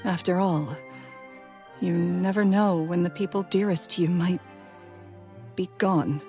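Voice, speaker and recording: A woman playing a widow who remembers her late husband in a gentle, wistful, soft-spoken way, over faint game music. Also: fairly low pitch, measured pace. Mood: tender.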